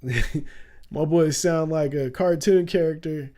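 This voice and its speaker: Laid-back slangy man, mellow voice. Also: fairly high pitch, slow. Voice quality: raspy at times. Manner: loose, slangy, laughs often, says "bro" a lot. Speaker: streamer. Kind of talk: casual livestream commentary.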